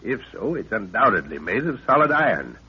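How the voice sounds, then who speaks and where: A man playing a level-headed middle-aged professor and expedition leader in a 1930s radio drama, speaking with deliberate authority on an old recording. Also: fairly low pitch, sing-song, husky, lo-fi sound, exaggerated voice. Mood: confident planning.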